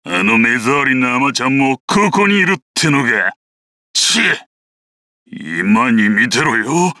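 A thuggish, ambitious guard captain from a video game. The voice is very hard-edged and forceful, with lively swoops in pitch. He talks coarsely, full of boasts and menace. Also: normal speed, no echo, clean studio sound. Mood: menacing, boastful. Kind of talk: video game character voice clips.

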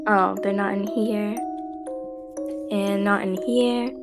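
A woman's voice: medium pitch, fairly even intonation, takes it slowly, over loud music. A woman playing a sulky, menacing possessed antique doll in an amateur horror parody, muttering disdainfully to herself. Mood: impatient.

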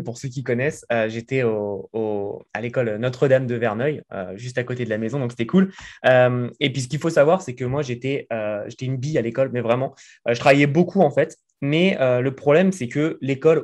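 A man's voice: mid-range voice, a little fast, a little mellow. A man in his thirties from France, a guest talking casually in an interview from his living room, chatty with many fillers. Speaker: guest entrepreneur. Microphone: desk mic in frame.

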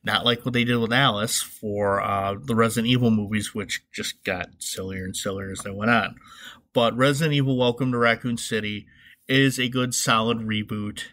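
A man's voice: lowish voice, fairly even intonation, normal speed, pushed and bright. A man hosting a podcast solo, giving casual, wry commentary with an informal, joking manner. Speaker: podcast host.

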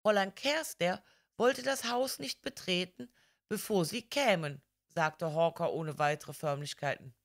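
A woman's voice: on the low side, unhurried. A woman reading a literary crime story as a scripted audiobook narration.

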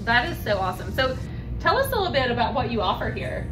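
A woman's voice: on the high side, big pitch swings, quick pace. An American woman in her thirties hosting an upbeat promotional interview with gushing enthusiasm. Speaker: host, realtor. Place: indoors at a restaurant bar. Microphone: camera a few feet away. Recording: distant mic, music behind. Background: music.

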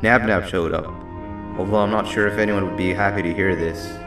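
A sardonic red devil mascot from a video game, low in pitch and wry. He sounds world-weary but loyal, echoing and set back from the mic with music behind. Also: steady tone, fairly quick. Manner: wry, conversational, exclamatory when pressed. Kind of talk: video game character acting. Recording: echoey, distant, music behind.

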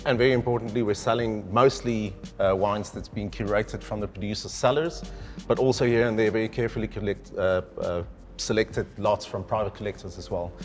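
A man's voice: fairly low pitch, steady tone, brisk, a little mellow, soft background music. A middle-aged man, speaking as a wine specialist in an enthusiastic, explanatory way, standing in a decorated indoor room. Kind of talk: conversational presentation.

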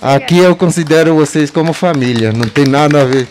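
A man's voice: on the high side, a little fast, noisy background. A young man holding a mic at an outdoor gathering, speaking to the crowd in a plain, friendly way. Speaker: community guest speaker. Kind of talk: casual address to a crowd. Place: outdoor park among trees. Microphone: handheld mic.

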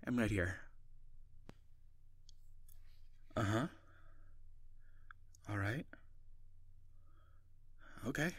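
A man's voice: lowish voice, no room sound, full character voice. Man acting a friendly, lonely ghost, hesitant. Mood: gentle, comforting. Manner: hesitant, conversational, soft-hearted. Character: friendly lonely male ghost, awkward, gentle, kind. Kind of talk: improvised audio roleplay, intimate one-sided conversation.

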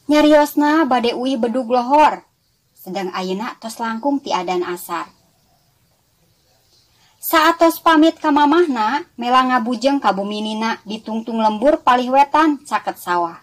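A woman's voice: a little high, rough recording. A woman with a West Java Sundanese accent narrating a scripted children's story expressively, as a storyteller addressing young listeners. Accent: Sundanese-speaking West Java.

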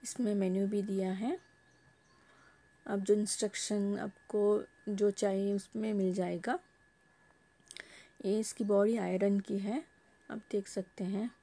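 Woman, vlogger, husky voice, mellow tone, homely step-by-step explaining, phone recording at home. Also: mid-range voice, little pitch movement, takes it slowly, pauses often. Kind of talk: casual product unboxing narration. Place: home, table top.

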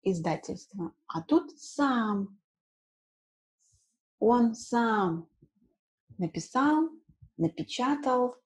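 A woman's voice: a little high, animated, extremely slow, pauses often, breathy edge, low-quality recording. A woman in her thirties teaching an informal lesson in simple words, repeating key words and adding short check questions. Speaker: language teacher. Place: small room indoors at home.

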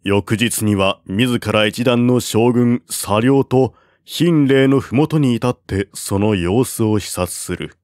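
A man reading a classical war novel aloud as narrator, in a slow, measured way at a close mic. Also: on the low side, darkish tone, no echo. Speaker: audiobook narrator.

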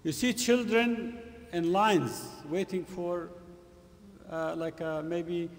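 A middle-aged man giving an earnest Friday sermon in a mosque, speaking in short, emphatic, halting phrases with repetition. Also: sits high, fairly even intonation. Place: mosque prayer hall, indoors. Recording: long hall reverb from room speakers.

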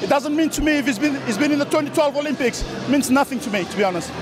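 A man with a very high speaking voice answers questions right after his fight, confident and defiant and still catching his breath. He speaks into a handheld mic at ringside, with music playing and a crowd chattering behind him. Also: fast. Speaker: winning boxer. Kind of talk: post-fight interview. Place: indoor sports hall at ringside. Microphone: interviewer's handheld mic.

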